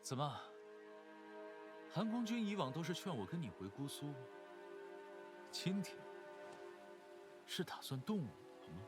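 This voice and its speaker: A proud young rebel in an animated fantasy drama. His delivery is theatrical and challenging, swinging widely in pitch, under loud music and rain. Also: unhurried, pauses often. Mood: defiant. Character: defiant, proud young male cultivator rebel.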